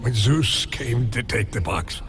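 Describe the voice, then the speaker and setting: An actor playing a hulking, weary, bitter smith god and protective father in a video game, gravelly, slow and brooding. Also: medium pitch, lively intonation, natural voice. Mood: weary, mournful. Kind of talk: video game cutscene acting. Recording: music behind the voice.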